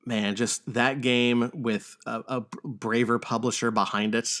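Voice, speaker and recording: A man's voice: mid-range voice, little pitch movement, a little slow, a bit bright. A man hosting a podcast, chatting casually about games and film and swearing freely. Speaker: podcast host.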